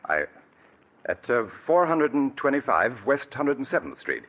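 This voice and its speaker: A man's voice: medium pitch, very animated, a little slow, a bit bright, exaggerated voice. An actor playing a scheming male murderer in a 1940s radio drama, nervous and evasive. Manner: nervous, evasive, breaks into manic laughing. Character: scheming male murderer, smooth then cracking. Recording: old radio broadcast recording.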